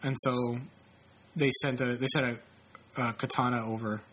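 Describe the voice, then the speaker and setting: A man in his late twenties with a congested, sniffly voice. His tone is bright and a little husky, and he rambles through casual chat with plenty of swearing and self-mockery. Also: medium pitch, steady tone, moderate pace, low-quality recording. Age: late 20s. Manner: rambling, profane, self-mocking. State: stuffy, sniffling.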